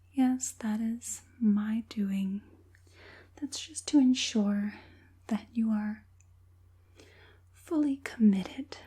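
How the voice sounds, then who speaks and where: A woman playing a softly menacing evil witch puppet master in an ASMR roleplay, whispering breathily with a coaxing, politely sinister manner. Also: mid-range voice, slightly rough. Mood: sly, coaxing.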